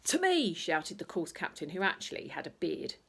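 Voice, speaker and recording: A woman reading a children's bedtime story aloud in an expressive, playful way, acting out noises as she goes. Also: sits low, normal speed, vocal fry, slight background noise. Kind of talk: animated bedtime story reading with sound effects and asides.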